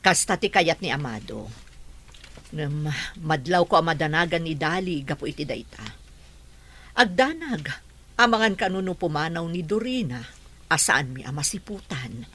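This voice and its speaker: A woman's voice: low-pitched, animated, moderate pace, a bit bright. An actress playing a worried middle-aged mother in a radio drama, anxious and pleading.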